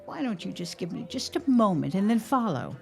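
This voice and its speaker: An actress playing a doting, gently wry middle-aged 1910s mother in an audio drama, coaxing as she talks. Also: medium pitch, very animated, brisk, gravelly, faint music behind. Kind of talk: period audio drama acting.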